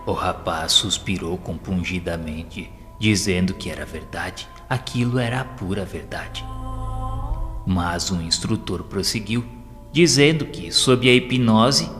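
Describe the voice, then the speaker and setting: A Brazilian man narrates an audio novel in a low register. His reading is formal and measured. The voice rings with long hall reverb, and music plays behind it.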